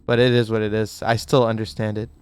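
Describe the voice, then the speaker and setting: A young man hosting a gaming channel, chatting in a rambling, excitable way while sick with a cough, with a mic set close to him. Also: on the low side, fast talker, smooth, no room sound, soft background music. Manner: excitable, rambling, swears casually. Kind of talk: casual reaction commentary. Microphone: desk mic set too close.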